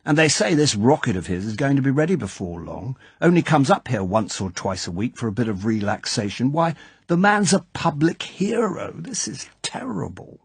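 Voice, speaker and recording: A man's voice: mid-range voice, sing-song, moderate pace, gravelly, slightly rough, no echo, pitched above the performer's own voice, heavily acted. A man voicing an indignant older club chairman who bursts out in angry, worried exclamations. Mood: anxious. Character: blustering older male club chairman, easily angered.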